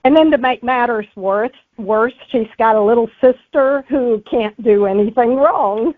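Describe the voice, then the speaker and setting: A woman's voice: a little high, a little slow, no echo. A woman being interviewed as an author, giving conversational answers over a thin phone-like connection. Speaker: author guest.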